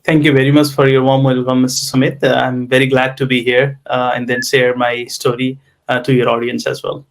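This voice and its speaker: A man with a Nepali accent, a tech entrepreneur in his thirties, telling his life story in an interview with many pauses and fillers. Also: medium pitch, completely flat delivery. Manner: hesitant, many ums and you knows.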